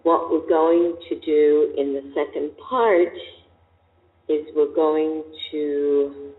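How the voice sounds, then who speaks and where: A woman voice teacher asking probing questions and explaining her method in a deliberate, emphatic way. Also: low voice, steady tone. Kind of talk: coaching conversation. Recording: tinny, echoey hall.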